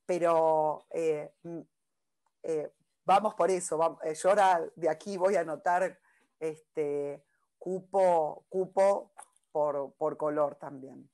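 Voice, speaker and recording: A woman on a round-table panel, talking slowly in a lowish voice. She stops and restarts often and leans on fillers while she works out her thought. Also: warm tone. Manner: halting, repeats words, many fillers.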